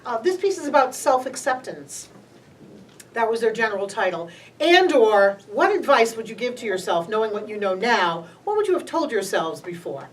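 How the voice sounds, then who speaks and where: Woman introducing a show, chatty, pitch jumps around a lot, heard from across the room. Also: sing-song, normal speed. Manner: chatty, with fillers. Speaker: event organizer introducing performers. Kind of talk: casual stage introduction. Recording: distant mic with faint noise.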